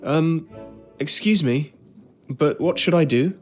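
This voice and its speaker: An actor playing a polite, reflective ship's steward narrating in a period drama game. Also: male voice, on the high side, slow pace, a little mellow, soft background music. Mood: polite, willing. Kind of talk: scripted first-person period narration.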